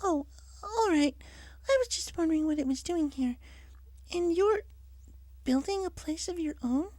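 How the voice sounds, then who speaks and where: Man doing a soft, very high timid girl pony. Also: animated, unhurried, dry recording. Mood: hesitant, curious. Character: gentle, timid young female pony, softly polite.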